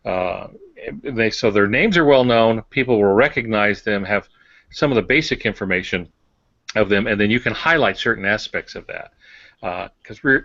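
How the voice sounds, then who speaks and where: A man with a lowish, gravelly voice explains things casually as a podcast co-host. The sound is close and dry, though a little dull and lo-fi. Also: a bit bright, no room sound.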